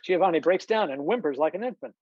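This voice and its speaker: A narrator reads screenplay stage directions in scripted fashion at a middling pitch. The sound is dry and a bit lo-fi, like a video call. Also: expressive, quick pace, no echo. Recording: dull, lo-fi video call audio.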